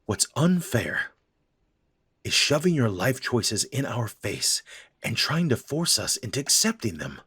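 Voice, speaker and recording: A man plays a stubborn middle-aged dad in an audio drama. His voice is husky and rough, slow and deliberate, with a pitch that swings widely between blunt scolding and halting, hesitant pauses. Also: big pitch swings, fairly bright tone, no echo, studio quality, full character voice. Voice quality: raspy. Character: gruff stubborn middle-aged human father. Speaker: voice actor.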